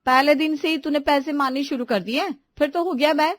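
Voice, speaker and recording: A woman's voice: high voice, moderate pace, voice pushed up, natural voice. A woman voicing an irritable, blame-shifting young daughter-in-law in a household story, snappy and complaining.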